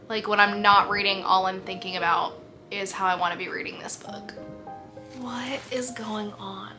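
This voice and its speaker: A young woman chatting casually in a reading vlog, expressive and full of "like", losing her voice. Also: medium pitch. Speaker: book vlogger.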